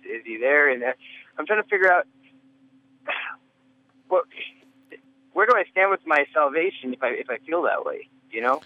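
A man calling in to a radio show, speaking hesitantly with many fillers and restarts. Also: a little high. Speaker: caller. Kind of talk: casual phone call. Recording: tinny phone line.

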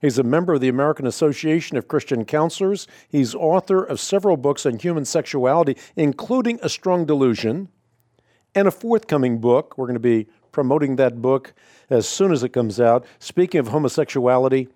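Male radio host, mellow dark voice, measured delivery leaning on key words, dry studio sound. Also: medium pitch, expressive, dry recording. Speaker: radio talk show host. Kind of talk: scripted monologue then interview.

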